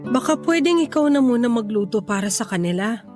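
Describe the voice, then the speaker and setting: A woman's voice: a little high, animated, brisk, darkish tone, natural voice. A woman playing a hurt, indignant middle-aged wife and mother in a radio drama, pleading and reproachful, with a Filipino accent. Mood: worried. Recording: faint music bed behind voice.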